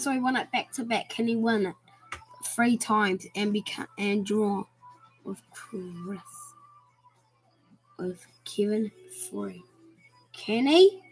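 A woman's voice: medium pitch, rough recording. A woman giving casual, eager commentary on a racing game and building hype with questions. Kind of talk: casual hobby race commentary.

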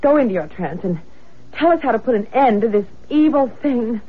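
A woman's voice: a little high, expressive, a little fast, natural voice. A woman playing a nervous, suspicious wife in a radio mystery, speaking with urgent insistence. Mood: anxious, demanding. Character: frightened, suspicious wife in a haunted house. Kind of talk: radio mystery drama acting. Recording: tinny radio recording, faint noise.

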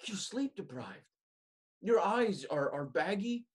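A young man in his twenties performing a dramatic monologue as a worried, exasperated roommate pleading in halting phrases. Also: high voice, very animated, breathy edge, heavily acted. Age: 20s. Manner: halting, repeating words. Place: small room indoors at home. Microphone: webcam at arm's length.

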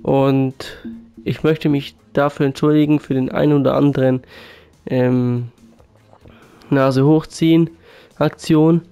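A man who is sick with a sore throat commentates his video game football match in a subdued way. His voice is smooth and dark, and he holds it to a narrow, flat pitch. Faint game music sits behind him. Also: slow, pauses often. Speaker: gaming YouTuber. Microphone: USB condenser mic.

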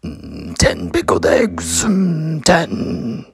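A man playing a gruff, entitled, childish demon diner with a gravelly, husky voice and slow grumbling delivery in a comedy ASMR roleplay. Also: fairly high pitch, huge pitch swings, no room sound, studio quality, exaggerated voice. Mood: grumpy, menacing. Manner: slow, grumbling, terse, drawn-out hums.

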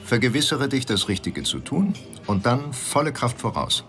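A man's voice: medium pitch, big pitch swings, normal speed, fairly bright tone, soft background music. An actor in a German radio drama playing a self-important male eyewitness, pompous, sententious and utterly certain. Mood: self-righteous.

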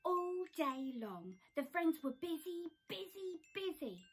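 A young woman reading a children's storybook aloud in a theatrical, dramatic, expressive style in a small room. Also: extremely high pitch, slow. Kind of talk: expressive scripted storybook narration. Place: small decorated room at home.

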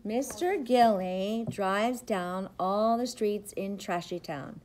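A middle-aged woman, a preschool teacher, reads a picture book aloud to young children with expressive, rhythmic, sing-song phrasing. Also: mid-range voice, slow pace, poor audio. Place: indoors, school classroom. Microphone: device camera at about arm's length.

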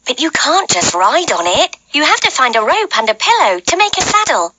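A woman voicing a bold, bossy young robber girl with a gruff, commanding manner in a children's storybook. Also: sits high, big pitch swings, normal speed, background noise. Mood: bossy, friendly. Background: music.

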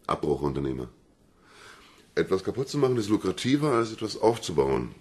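Radio crime drama: wry detective, extremely deep, husky, animated delivery. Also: male voice, brisk, exaggerated voice. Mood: sardonic. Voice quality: gravelly, husky. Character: wry, hunch-driven male police investigator.

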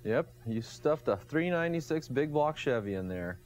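A man's voice: fairly high pitch, expressive, darkish tone. Upbeat middle-aged man hosting a garage tour. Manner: upbeat, asks quick questions. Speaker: TV show host. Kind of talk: casual walk-through interview. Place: large cluttered garage workshop, indoors.

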